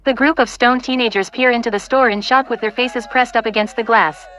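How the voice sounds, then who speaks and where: A woman's voice: fairly high pitch, steady tone, fairly quick, fairly bright tone. A woman reading scripted stage directions as the narrator of an audio play.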